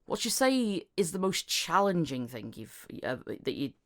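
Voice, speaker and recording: A woman's voice: lowish voice, big pitch swings, a little slow. A woman hosting a podcast interview in a casual way, often laughing and restarting her questions as she goes. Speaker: podcast host.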